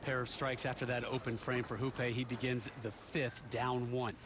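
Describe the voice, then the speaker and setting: A man's voice: medium pitch, level tone, normal speed, background noise. A man doing play-by-play commentary for a televised bowling match, upbeat and joking as he tells stories between shots.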